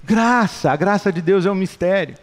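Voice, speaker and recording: A man's voice: high voice, moderate pace. A middle-aged Brazilian man preaching a sermon with passion, building points through rhetorical repetition. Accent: Brazilian Portuguese. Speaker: pastor. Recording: long hall reverb.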